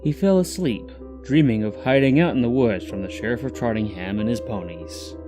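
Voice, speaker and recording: A man's voice: mid-range voice, normal speed, over music. A man narrating a scripted story as the host of a fiction reading.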